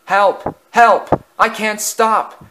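A boastful storybook steam engine, played by a male narrator, pitched well up and exclaiming in short, repeated bursts. He is full of himself and quick to get worked up. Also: fairly even intonation, background noise. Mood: panicked.

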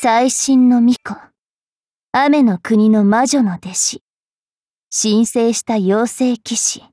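A woman's voice: a little high, slow pace, dark tone, no room sound, clean studio sound, soft background music. A woman voicing a haughty, teasing young female fairy knight in an anime mobile game. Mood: haughty, solemn. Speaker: voice actress.

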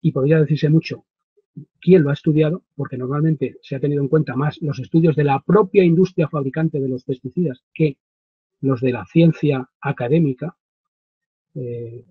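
A man, giving an explanatory webinar talk in a deliberate, emphatic way with frequent pauses. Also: fairly high pitch, breathy edge. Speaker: webinar presenter. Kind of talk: explanatory lecture, semi-improvised. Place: small wood-panelled home study, indoors. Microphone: computer webcam microphone at desk distance. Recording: dull, roomy webcam audio.

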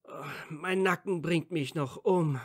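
A man's voice: fairly high pitch, slow, no room sound, clean studio sound. A man playing a playfully whiny, smitten young wizard student in a fan audio drama. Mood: playfully suffering.

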